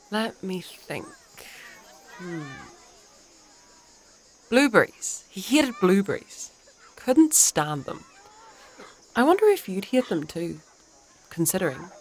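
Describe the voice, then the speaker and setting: A composed, wryly teasing priestess. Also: female voice, on the low side, very animated. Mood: amused, teasing. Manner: measured, articulate, playfully dry. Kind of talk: dark fantasy audio drama acting.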